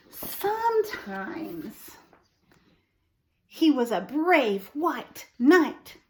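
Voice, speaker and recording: A woman reading a picture book aloud to young children, expressively, pausing to explain and ask them questions. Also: high-pitched. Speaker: storytime host reading to children.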